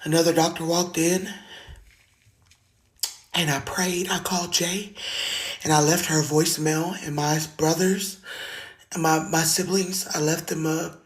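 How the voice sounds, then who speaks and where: Raspy low-voiced woman with a slight lisp, earnest first-person testimony into a phone. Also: steady tone, measured pace, long pauses. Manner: earnest, heartfelt, plain-spoken. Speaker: singer sharing her story. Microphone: phone video.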